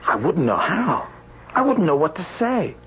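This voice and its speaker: An actor playing a nervous hospital doctor in a radio drama, hesitant and stammering. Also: male voice, a little high, wildly swooping pitch, normal speed, a bit bright, breathy edge, full character voice. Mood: rattled, unsure. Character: nervous young male hospital doctor on duty, rattled. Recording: muffled, lightly noisy old radio broadcast.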